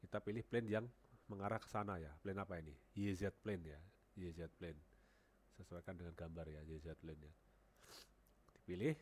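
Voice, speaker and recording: A man's voice: sits low, fairly even intonation, moderate pace, a little hiss or hum. A man with a cold talking through a CAD modeling tutorial on a headset, thinking aloud and repeating numbers as he types them. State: has a cold. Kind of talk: screen-recorded software tutorial.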